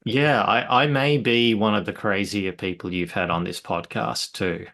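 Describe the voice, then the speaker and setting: A man from Australia, relaxed and self-deprecating as a podcast guest telling his story. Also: lowish voice, unhurried, creaky. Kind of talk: interview storytelling.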